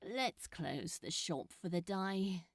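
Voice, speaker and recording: A woman's voice: lowish voice, lively intonation, slow, creaky, no room sound, clean studio sound, pitched below the performer's own voice, heavily acted. A woman voicing a mischievous, lovestruck male demon in a fan-fiction story, blurting emotionally and dramatically. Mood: relieved, affectionate. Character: frantic, remorseful male demon in love, mischievous.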